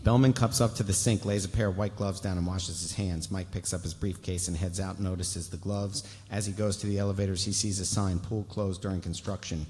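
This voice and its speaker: A man narrates a TV script aloud at a live table read, slipping in wry, playful asides. His voice sits on the low side and rings with the long reverb of a big hall. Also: fairly even intonation, a little fast, few pauses, a little mellow. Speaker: stage directions reader.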